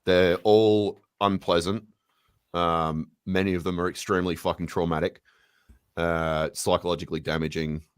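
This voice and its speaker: Man, very low voice, blunt, swears freely, dry recording. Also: fairly bright tone, no room sound. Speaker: guest. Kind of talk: casual political chat.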